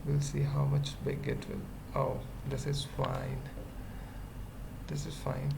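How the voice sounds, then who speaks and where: A very slow speaker with heavy vocal fry presents an unscripted coding screencast. The delivery is halting, in short fragments, as if thinking aloud. Clear background noise and keyboard typing sit behind the voice. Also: mid-range voice, completely flat delivery. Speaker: web development tutorial presenter. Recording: noisy mic, keyboard typing. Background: computer keyboard typing.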